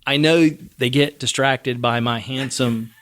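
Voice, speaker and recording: A man's voice: mid-range voice, steady tone, slow pace, fairly bright tone, no room sound. Joking, laughing man host, dry mic. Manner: self-deprecating, joking, laughs often. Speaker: podcast host. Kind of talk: casual chat.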